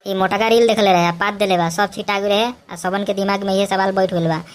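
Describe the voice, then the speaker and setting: A woman performs a cheeky schoolboy from a cartoon comedy, speaking in a medium-pitched voice with a Bhojpuri accent. The boy is argumentative and brash, answering back in loose, colloquial speech. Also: steady tone, a little fast, natural voice. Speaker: voice actor.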